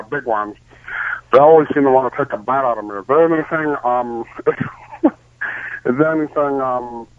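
A man's voice: medium pitch, no echo. A male caller rings in to a radio show. His voice is thin and dry, squeezed through a phone line. He hesitates constantly, filling gaps with ums and starting sentences over. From Pennsylvania, US. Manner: hesitant, many ums and restarts.

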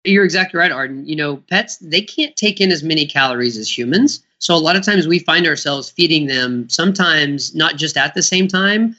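A man with a bright, somewhat high voice talks as a guest about dog training. He explains things earnestly and keeps slipping in fillers. The recording sounds dull and lo-fi. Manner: earnest, many "you know" fillers. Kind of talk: interview answers, promotional. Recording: muffled lo-fi audio.

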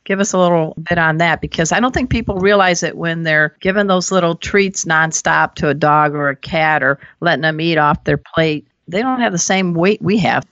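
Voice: medium pitch, moderate pace, few pauses, a bit bright, no echo. Chatty host with a dry, muffled sound. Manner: chatty and jokey, with folksy turns of phrase. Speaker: interview host. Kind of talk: talk show interview.

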